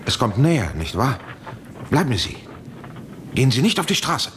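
Seventies radio play: evasive, nervous professor, hedging and pleading, very lively intonation, slight background noise. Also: male voice, mid-range voice, moderate pace. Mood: alarmed. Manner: hesitant, hedging, urgently pleading. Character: evasive, anxious male professor guarding a secret. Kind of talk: 1970s German radio play acting.

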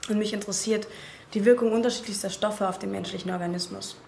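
A woman's voice: medium pitch, steady tone, fast talker, gravelly, a little mellow, close to an everyday voice. A woman playing a clever, self-assured young student in a radio drama, matter-of-fact and articulate. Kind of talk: naturalistic radio drama acting. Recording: echoey room, faint noise.

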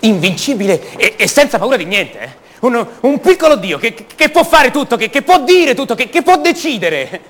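A man's voice: extremely high pitch, big pitch swings, heavily acted. An actor playing a restless, defensive young man from a privileged family in a radio monologue, hesitating and repeating words. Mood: mock grandiose. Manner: hesitant, halting, repeats words, rhetorical tags. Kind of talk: theatrical monologue, radio drama.

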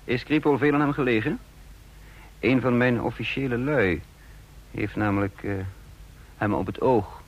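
An actor playing a calculating double agent who poses as a counterintelligence chief, measured and probing in a radio play. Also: male voice, mid-range voice, dull audio. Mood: probing, businesslike. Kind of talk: Dutch radio play acting and first-person narration. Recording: noisy old radio play recording.

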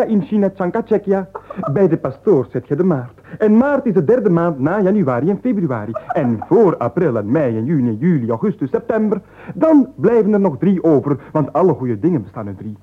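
High-voiced, pompous Flemish chatterbox. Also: male voice, phone-quality sound. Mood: gallant babbling. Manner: pompous, wordy, declamatory. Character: penniless, unstoppable male chatterbox, flowery and self-assured. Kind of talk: comic radio play acting. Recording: band-limited 1980s radio play.

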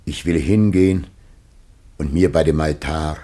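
A man's voice: a bass voice, steady tone, unhurried, dark tone. An actor playing the aged first man, anguished and awaiting death, in solemn, declamatory radio-play style. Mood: sorrowful, grave. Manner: grave, declamatory, archaic verse-like diction. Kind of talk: solemn classical German radio play acting.

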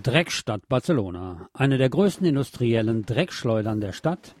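A man's voice: medium pitch, very animated, moderate pace, very noisy. A man presenting scripted local news on the radio, reading as a narrator of reports.

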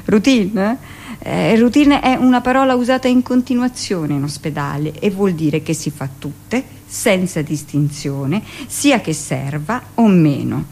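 An actress playing a middle-aged village-born midwife in an intimate, expressive theatrical monologue, with dramatic pauses. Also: female voice, fairly low pitch, creaky, slight background noise. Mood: firm, earnest. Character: 45-year-old village-born midwife, recounting childhood, emotional, earnest.

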